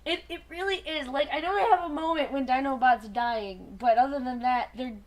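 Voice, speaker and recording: A woman's voice: sits high, normal speed. A young woman co-hosting a fan fiction show, chatting casually with friends in a joking, opinionated way. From Alaska, USA.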